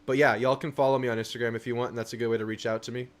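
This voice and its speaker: A man's voice: medium pitch, level tone, rapid-fire. A young man hosting a casual live stream, reading viewer messages aloud and answering them. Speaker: livestream host.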